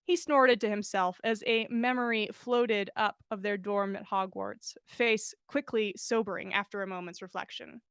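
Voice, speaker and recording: A woman reading fan fiction aloud as expressive story narration, animated, with the odd stumble and self-correction. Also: medium pitch, normal speed, few pauses, smooth, dry recording, clean studio sound. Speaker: podcast host reading listener fan fiction.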